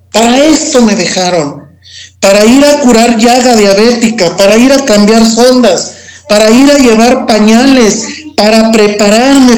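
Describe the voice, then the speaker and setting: A Mexican man giving a personal testimony as an interview guest. He talks slowly and haltingly, often repeating words before going on. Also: very high voice, darkish tone, poor audio.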